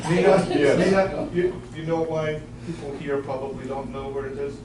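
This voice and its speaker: A man with a high voice trades wisecracks in loose, teasing banter at a club meeting. The sound is distant and echoey, with clear room noise behind him. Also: little pitch movement, normal speed. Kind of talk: joking banter. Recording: far-off mic, echoey room, background noise.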